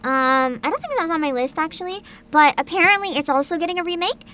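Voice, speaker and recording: High, bright-voiced woman streamer, very lively. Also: fast talker. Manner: bubbly, giggly, enthusiastic. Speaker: virtual streamer host. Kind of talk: casual livestream chat, partly reading aloud.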